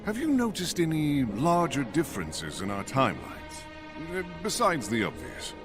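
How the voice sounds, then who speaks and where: A man's voice: a little high, very animated, moderate pace, over music. A man playing an earnest, noble, big-hearted thunder god in a video game, grave and formal in his delivery. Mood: curious, earnest. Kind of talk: dramatic video game dialogue.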